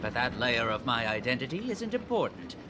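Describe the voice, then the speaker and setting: A man's voice: mid-range voice, very animated, noisy background, close to an everyday voice. A man playing a pompous theatrical memory keeper. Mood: lofty. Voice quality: pompous, ornate and deliberate. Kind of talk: dubbed game cutscene dialogue. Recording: voice over loud music.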